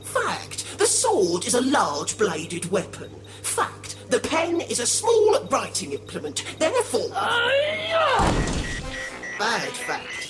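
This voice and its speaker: A woman's voice: on the high side, huge pitch swings, slow, loud music behind the voice, full character voice. A woman playing a pedantic, literal-minded alien in a sci-fi audio drama, speaking in clipped, stilted declamation. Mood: stern, pedantic.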